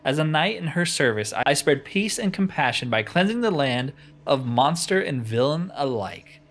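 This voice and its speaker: A man's voice: fairly high pitch, lively intonation, moderate pace, a bit bright. A man voicing an earnest, solemn knight from a fantasy game, speaking in formal, declamatory lines. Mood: proud, solemn. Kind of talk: reading fantasy game dialogue.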